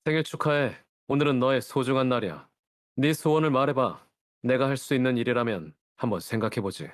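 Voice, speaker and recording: A man's voice: very fast, dry recording, studio quality, natural voice. An actor playing a serious, cold, reserved nobleman in a fantasy game, with a rugged tone. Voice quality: rugged, deep-toned.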